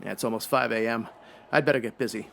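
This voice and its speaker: Gravelly hard-boiled private eye played by a man. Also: mid-range voice, fast talker, a bit bright. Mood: grim, matter-of-fact. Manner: clipped, slangy, wisecracking. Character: hard-boiled male private detective, brusque, slangy. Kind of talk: noir radio drama comedy acting.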